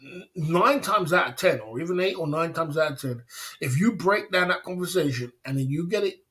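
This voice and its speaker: A man's voice: fairly high pitch, expressive, moderate pace, a bit bright. Boastful man, livestream talk. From England. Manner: repetitive, boastful, acts out dialogues. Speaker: livestream host.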